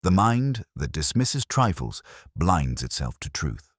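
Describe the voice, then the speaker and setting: A man reading the part of a gentleman detective from a Victorian audiobook tale. His voice is very deep and dry, with expressive rises and falls. He lectures with cool, formal precision. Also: slow pace, dry recording, heavily acted. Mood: coolly amused. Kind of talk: audiobook character dialogue.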